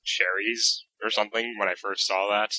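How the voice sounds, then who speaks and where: A man guesting on a podcast, chatting casually and joking, quick and stumbling at the start of his sentences. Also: fairly low pitch, level tone, pushed and bright, no room sound, poor audio.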